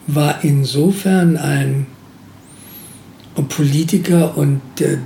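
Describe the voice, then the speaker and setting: Slow gravelly older man recalling. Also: a little high, warm tone, echoey room, slight background noise. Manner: hesitant, many filler sounds. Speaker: former museum director. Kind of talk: interview recollection.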